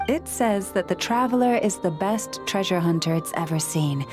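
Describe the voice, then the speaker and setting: A composed young woman guide, voiced by an actress in a game cutscene, speaking in a calm, reassuring way. Her voice sits at an ordinary middle pitch. Soft game music runs faintly underneath. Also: moderate pace, lightly acted. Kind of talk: video game cutscene dialogue.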